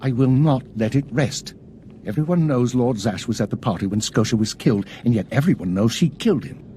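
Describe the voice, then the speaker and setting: An actor playing a haughty, anxious colonel in a video game, pompous and insistent. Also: male voice, mid-range voice, lively intonation, fairly quick, dark tone, slight background noise, natural voice. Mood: urgent, pressing. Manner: pompous, pressing.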